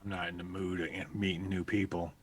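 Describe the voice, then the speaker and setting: Very low-voiced deadpan man player. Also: a little fast.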